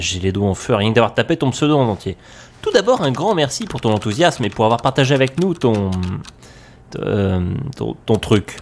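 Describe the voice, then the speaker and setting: A man's voice: mid-range voice, a little hiss or hum. A middle-aged man acting a grumpy, sarcastic forum administrator in a comic audio drama. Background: background music.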